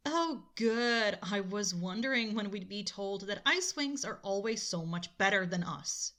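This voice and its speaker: A woman's voice: mid-range voice, animated, a little slow, a bit bright. A woman playing a sarcastic, dismissive young dragon in an audio drama. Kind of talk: fan audio drama acting.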